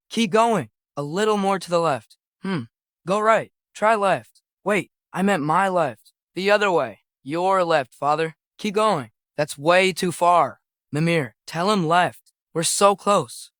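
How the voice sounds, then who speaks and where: A man's voice: sits high, a bit bright, dry recording, studio quality. Earnest, curious teenage boy adventurer. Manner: tentative, eager, questioning. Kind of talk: naturalistic video game voice acting.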